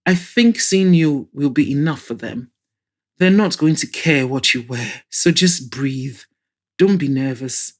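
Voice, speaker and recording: A woman reading the part of a calm, supportive, practical man comforting a friend in an audio drama. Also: deep voice, a little slow. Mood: reassuring.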